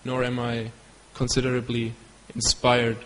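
A man's voice: mid-range voice, monotone, fairly bright tone, a little hiss or hum. German-accented man telling a story in a hall. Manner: halting, searching for English words. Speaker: devotee giving a talk. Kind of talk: storytelling lecture. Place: hall in Belgium. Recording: long hall reverb with faint ambience.

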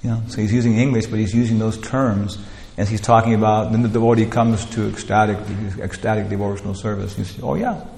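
Male lecturer, casual rambling delivery, gravelly voice, echoey hall. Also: lowish voice, fairly even intonation, fast talker, hardly any pauses, a little mellow. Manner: rambling, many "you know" fillers and restarts. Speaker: teacher leading a scripture class. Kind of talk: informal spiritual lecture. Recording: roomy hall with long echo, faint ambience.

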